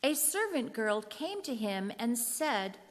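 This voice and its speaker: A woman narrating scripture in a deliberate, clearly phrased way, in a reverberant hall. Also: a little high, big pitch swings. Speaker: narrator in a church readers' theater.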